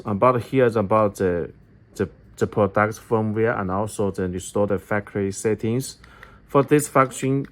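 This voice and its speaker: Low-voiced man, halting. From China. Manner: halting non-native English with repeated phrases. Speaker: product demonstrator for an electronics company. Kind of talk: step-by-step product tutorial.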